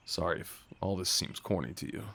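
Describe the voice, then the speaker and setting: A man's voice: sits low, creaky, a bit bright, exaggerated voice. Man acting as a shy, sweet, nervous date. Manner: earnest, hesitant, apologetic, tender. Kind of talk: ASMR roleplay, intimate scripted acting.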